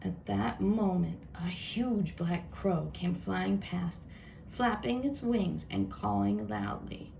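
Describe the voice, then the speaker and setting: Lady reading a kids story, bit of fry in the voice, slight noise. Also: mid-range voice, slow, darkish tone, rough recording. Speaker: storyteller reading a children's book aloud. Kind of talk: expressive read-aloud narration.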